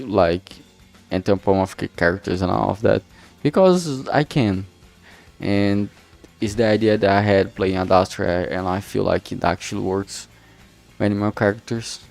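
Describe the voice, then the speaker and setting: Slow, deep-voiced man with creaky fry. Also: a little mellow. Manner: hesitant, thinking aloud, trailing off. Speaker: streamer making a visual novel. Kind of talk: casual rambling work stream chat.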